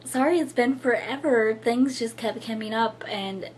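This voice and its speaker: A hoarse young woman with a husky voice, chatting casually to camera as the host of a knitting show, with lots of fillers and little laughs. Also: on the high side, moderate pace, darkish tone, a little hiss or hum. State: hoarse, losing her voice.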